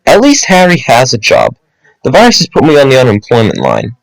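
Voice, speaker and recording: Exasperated, stammering man in a lively rant. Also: mid-range voice, a little fast, gravelly, dry recording, low-quality recording. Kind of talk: comic complaining rant.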